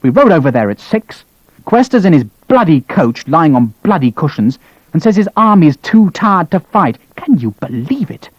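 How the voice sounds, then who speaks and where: Man playing an enraged, cursing army colonel, swooping pitch, dry and dull-sounding. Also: sing-song, moderate pace, no echo. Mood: furious. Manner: ranting, shouting, cursing. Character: furious, exhausted British cavalry colonel, swearing.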